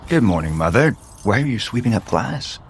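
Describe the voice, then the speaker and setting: A man's voice: fairly low pitch, very animated, a little fast, creaky, a little hiss or hum. A male actor playing a guarded, conflicted adult son and unhappily married father in an audio soap opera. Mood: dismissive. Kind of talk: naturalistic soap opera acting.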